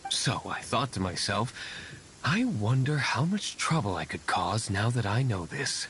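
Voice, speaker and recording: An actor playing a composed, aristocratic winery owner in a game cutscene, speaking in a formal, measured way. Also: male voice, fairly low pitch, a little hiss or hum, rough recording. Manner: formal, measured, calmly authoritative.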